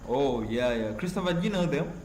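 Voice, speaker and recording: A man with a Ugandan accent chatting casually on a livestream as he answers viewers, in a halting, repetitive, earnest way. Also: mid-range voice, expressive, a little mellow. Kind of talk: casual live chat with viewers, reading out messages. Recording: noticeable background noise.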